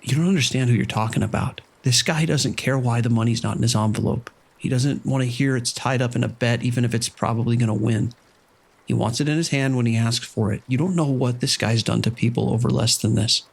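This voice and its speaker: An actor playing a harried casino manager in an audio drama, anxious and hesitant, with a pleading way of talking. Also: male voice, medium pitch, steady tone, fairly quick, creaky, slightly rough. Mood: alarmed, pleading. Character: nervous, harried casino manager, male, owing money.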